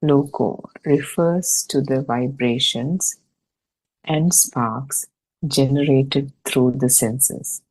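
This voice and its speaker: A woman reading a religious discussion text aloud for an online study session, in a measured, deliberate way with pauses between short phrases. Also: very deep voice, a little mellow, slightly rough, poor audio. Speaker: reader and host of an online Buddhist study session. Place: online video meeting.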